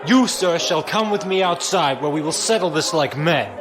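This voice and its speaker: An actor playing a hot-headed, jealous noble duelist in an audio drama, indignant and theatrical. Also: male voice, on the high side, animated, normal speed, music in the background, close to an everyday voice. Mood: outraged.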